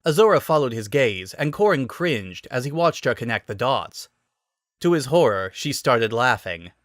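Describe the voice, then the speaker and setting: Animated male story narrator, very dry sound. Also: mid-range voice, normal speed, no echo. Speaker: narrator of fan fiction. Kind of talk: expressive scripted story narration.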